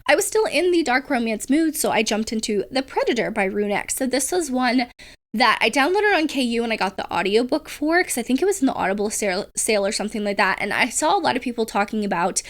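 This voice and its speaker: A woman's voice: a little high, expressive, fast talker, fairly bright tone. A young woman with a cold, chatting casually about books she has read, rambling with lots of fillers and restarts. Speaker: book vlogger. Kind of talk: casual book review chat.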